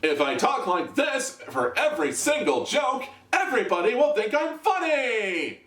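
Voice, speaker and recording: An overeager stand-up comedian, mimicked by a man, pitched far up and straining at every punchline. The delivery is exaggerated and mocking. It rings in a roomy space, a bit away from the mic. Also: sing-song, unhurried, a bit bright, exaggerated voice. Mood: showy, mocking. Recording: roomy echo, distant from the mic.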